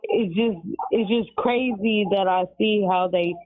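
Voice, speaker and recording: A woman from California calling in to a radio show, speaking haltingly with lots of fillers. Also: mid-range voice. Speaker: caller. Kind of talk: phoned-in comment. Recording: tinny phone line.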